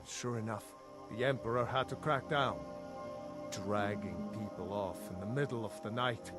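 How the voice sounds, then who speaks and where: A man's voice: on the low side, normal speed, over loud music, lightly acted. A man playing a middle-aged village blacksmith in a fantasy game, earnest, opinionated and loyal to his empire. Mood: reflective. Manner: earnest, opinionated, matter-of-fact. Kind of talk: fantasy video game dialogue.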